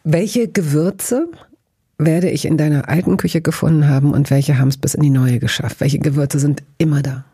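A woman interviewing a guest, curious and eager, with warm, excited reactions. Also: deep voice, moderate pace, husky. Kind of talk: casual interview.

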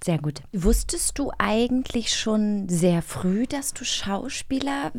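A woman's voice: medium pitch, lively intonation, takes it slowly, no room sound. A woman co-hosting a podcast in casual, playful conversation. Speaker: podcast co-host. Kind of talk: casual chat.

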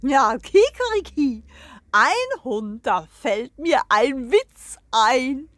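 A boisterous storybook rooster, acted out by a woman, crows and cackles with showy pride. The voice is high and swings widely, in a close, dry recording. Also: sing-song, fairly bright tone, dry recording, full character voice. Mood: proud, excited. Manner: crowing, cackling, showy delivery with drawn-out punchlines. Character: proud, boisterous rooster who loves telling jokes. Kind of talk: children's storytelling.

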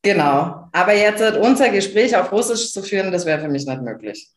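A woman's voice: medium pitch, sing-song, fairly quick, echoey room. A woman from Bavaria, talking as a podcast guest in a casual chat, enthusiastic and emphatic, with many fillers and restarts. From Bavaria, Germany.

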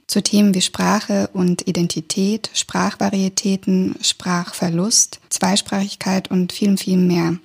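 A woman from Kazakhstan hosting a podcast interview, speaking thoughtfully and reading her introductions smoothly, recorded in a room at a language institute. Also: medium pitch, a little mellow. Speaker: podcast host.

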